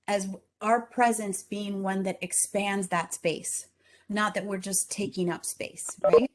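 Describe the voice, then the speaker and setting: A woman from Chile giving a conversational talk at a virtual event, with frequent ums and restarts. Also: mid-range voice. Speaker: speaker. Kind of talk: conference talk.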